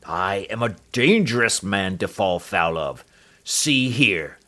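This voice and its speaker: A man's voice: mid-range voice, expressive, slow. A man voicing a fierce, furious old man who blusters and snarls threats. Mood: threatening.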